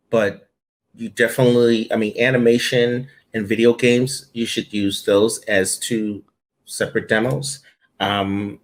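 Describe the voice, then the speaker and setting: Lowish male voice, guest talking shop, lots of ums, thinks out loud. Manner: conversational, lots of ums and restarts. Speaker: guest, voiceover audition director. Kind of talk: casual interview answers.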